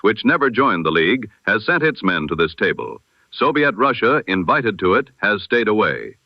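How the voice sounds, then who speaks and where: A man presenting a scripted news bulletin in the style of 1920s radio, with a measured, formal, solemn delivery. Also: mid-range voice, no echo, dull audio.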